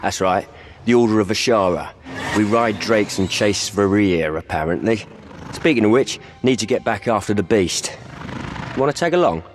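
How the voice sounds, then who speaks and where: A man playing a dry, deep-voiced hunter. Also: natural voice. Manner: dry, clipped, drops words. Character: laid-back male drake-riding hunter. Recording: noisy game background.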